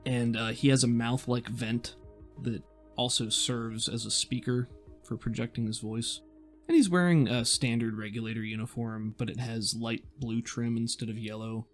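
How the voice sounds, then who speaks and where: A man's voice: medium pitch, steady tone, moderate pace, soft background music. A man working as game master, narrating a tabletop game in a descriptive, conversational way as he thinks aloud.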